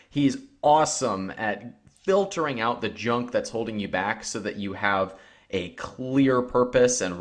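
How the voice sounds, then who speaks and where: Upbeat low-voiced male podcast host. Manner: upbeat, motivational, laughs easily. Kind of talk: scripted intro, then interview questions.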